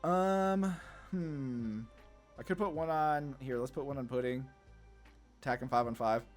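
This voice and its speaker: A man's voice: medium pitch, unhurried. Casual man thinking aloud, music behind. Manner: informal, rambling, thinking through moves aloud. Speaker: gaming content creator, player.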